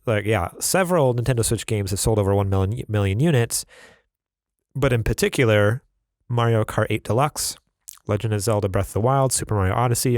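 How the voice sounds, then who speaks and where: A man's voice: lowish voice, no echo, clean studio sound. A man co-hosting a gaming podcast, chatting casually and reading news stories aloud with his own asides. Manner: laid-back, reads stories aloud with asides. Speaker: podcast co-host.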